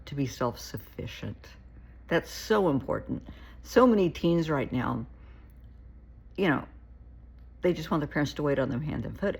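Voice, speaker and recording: Gravelly, husky-voiced woman therapist. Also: very deep voice, low-quality recording. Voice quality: gravelly, shaky voice. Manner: earnest, pleading, repeats herself. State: getting a cold. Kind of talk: casual advice to camera.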